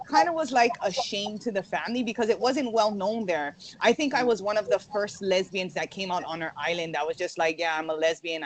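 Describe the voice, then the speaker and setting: A young woman from Hawaii, a guest in a casual interview, who laughs often and fills her talk with "like". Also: fairly low pitch. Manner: laughs often, says "like" a lot.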